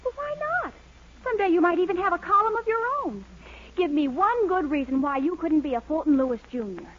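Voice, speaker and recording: A woman's voice: extremely high pitch, animated, a little fast. An actress playing a sweet, encouraging young woman, earnest and gushing, in a 1940s radio comedy. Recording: muffled, hissy 1940s radio recording.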